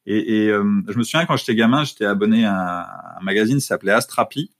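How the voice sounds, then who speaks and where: A man in his thirties with a lowish voice answers interview questions in a relaxed, conversational way. He talks haltingly, with frequent hesitations and restarts as he thinks aloud. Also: vocal fry. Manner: hesitant, many fillers and restarts. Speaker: interview guest, father.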